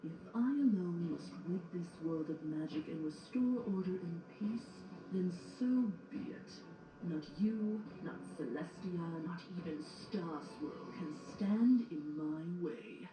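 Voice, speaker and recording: A woman acting a menacing pony villainess with dark magic in an audio drama, speaking in a grandiose, scornful way. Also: lowish voice, expressive, takes it slowly, noisy background. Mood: grim resolve. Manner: grandiose, scornful theatrical delivery. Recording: roomy, distant playback under music.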